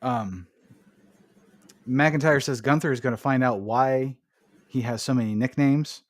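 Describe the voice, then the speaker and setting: A man's voice: mid-range voice, unhurried, no echo. Casual laid-back man recapping, dry sound. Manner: swearing, laughing recap with jokey put-on voices. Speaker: podcast host recapping wrestling. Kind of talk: casual chat.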